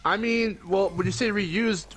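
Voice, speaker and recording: A man's voice: high-pitched, unhurried, a bit bright, husky. A young man with a groggy morning voice, chatting casually as a podcast co-host, rambling and correcting himself, with the odd swear word. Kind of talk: casual chat.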